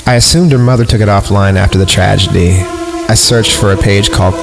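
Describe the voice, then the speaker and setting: Low-voiced man narrating horror. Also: fairly even intonation, brisk, vocal fry, loud music behind the voice. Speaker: podcast host reading horror stories. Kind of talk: dramatic horror story narration.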